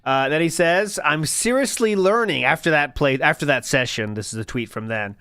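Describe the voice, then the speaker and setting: Man, host, sarcastic, mocking, lively pitch, dry studio sound. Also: a little fast, clear, resonant tone, dry recording. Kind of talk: commentary over old stream clips.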